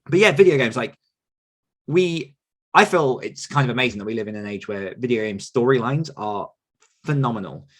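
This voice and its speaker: A man in his thirties talks loosely through listener questions on a podcast. His voice sits at an everyday middle pitch. He wanders, circles back and restarts his thoughts, and laughs easily. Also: moderate pace. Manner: relaxed, rambling with fillers and restarts, laughs often. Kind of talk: casual chat.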